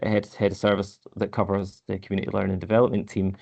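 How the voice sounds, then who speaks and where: Man, council head of service, hesitant, repeats words, low monotone voice, dull lo-fi recording. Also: fast talker, creaky. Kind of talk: answering questions at a committee meeting.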